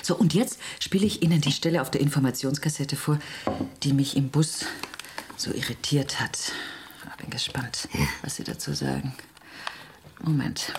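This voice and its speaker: A woman's voice: sits low, moderate pace, vocal fry, in a whisper. An actress in a radio drama playing a spirited, sceptical woman tourist who talks in a candid, impulsive, colloquial way. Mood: fretful.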